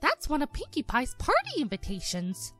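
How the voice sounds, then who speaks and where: A woman's voice: high-pitched, wildly swooping pitch, moderate pace, a bit bright, faint music behind, voice pushed up, full character voice. A woman voicing a kindly, motherly mare shopkeeper pony in a fan fiction reading, sweet and doting. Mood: curious. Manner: sweet, doting, calls others dearie.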